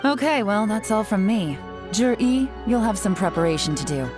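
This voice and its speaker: An actress playing a poised, cunning intelligence agent in a video game, coolly in command. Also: female voice, medium pitch, expressive, moderate pace, over music, close to an everyday voice. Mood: formal, composed.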